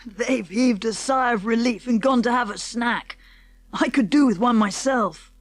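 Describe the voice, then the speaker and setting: A woman voicing a confident, eager schoolboy adventurer with an English accent and hearty, brisk diction for a children's audiobook. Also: on the high side, dry recording, lightly acted. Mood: alert, eager. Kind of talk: children's audiobook character acting.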